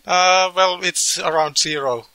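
A man's voice: high-pitched, unhurried, pushed and bright, clean tone, low-quality recording. A sick man co-hosting a podcast, giving short, hesitant replies. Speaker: podcast co-host. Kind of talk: casual podcast chat.

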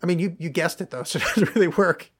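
A man's voice: a little high, quick pace, breathy edge. A young man hosting a casual podcast chat, rambling and self-mocking, swearing and laughing at his own jokes. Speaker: podcast host.

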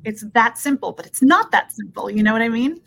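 A woman's voice: fairly high pitch, expressive, fairly quick, creaky, fairly bright tone. A woman in her thirties from Peru, talking earnestly as an interview guest and often checking in with "right?". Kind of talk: casual interview talk.

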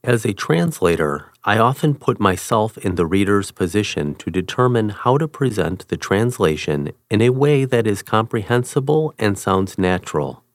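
A man giving a scripted reading of a first-person article in a measured, even tone. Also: fairly low pitch, no room sound, studio quality. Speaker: reader.

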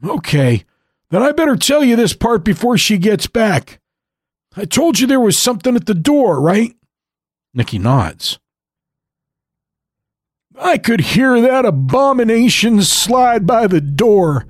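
A man's voice: sits high, very animated, slow pace, long pauses, no echo, clean studio sound, voice pushed up, exaggerated voice. A man voicing a frail, rambling old grandfather who tells a horror story in the first person. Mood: wistful.

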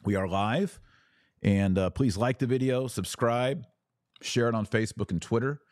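A man hosting a podcast, giving a devotional theology talk with emphatic, deliberate phrasing and pauses for effect. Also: medium pitch, dry recording, clean studio sound.

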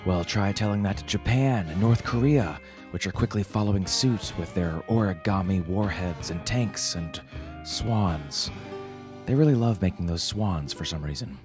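A man's voice: sits low, normal speed, music in the background. A man hosting a podcast, reading scripted comic satire and introductions in a wry, theatrical way. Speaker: podcast host.

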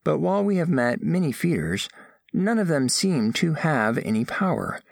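A man's voice: on the high side, sing-song, normal speed, creaky, no room sound, studio quality, exaggerated voice. A man playing an earnest, formal alien scholar, narrating a scripted audio drama in precise, literal wording. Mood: formal, explanatory. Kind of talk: scripted first-person narration.

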